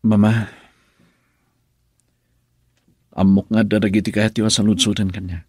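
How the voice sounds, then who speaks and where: Napakumbaba nga asawa a lalaki, namaga ti timekna. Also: male voice, on the low side, little pitch movement, quick pace, darkish tone, slightly rough, no echo, studio quality. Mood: pleading. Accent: Ilocano. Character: injured husband pleading earnestly with his mother. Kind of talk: Ilocano radio drama acting.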